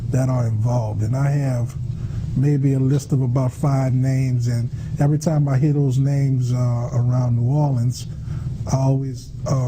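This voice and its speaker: A man of average pitch gives an informal explanation as a guest on a panel. He moves haltingly, hesitating between phrases. There is clear noise around him. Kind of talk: informal interview talk. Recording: noisy room with background ambience.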